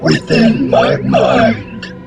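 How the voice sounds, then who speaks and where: A man's voice: very deep voice, steady tone, drawn-out words, music in the background, exaggerated voice. A man voicing an ancient, contemptuous alien monster in a radio drama, cold and imperious. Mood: cold, menacing.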